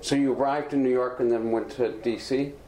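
A man's voice: mid-range voice, steady tone, fairly quick, dark tone. A man conducting an oral history interview, asking short prompting questions. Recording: roomy room with faint noise.

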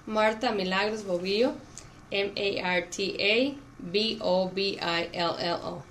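A woman's voice: mid-range voice, steady tone, measured pace, a bit bright. A middle-aged woman from Cuba, a Spanish speaker, answering questions in an oral history interview. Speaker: interviewee, auto plant worker. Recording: clear background noise.

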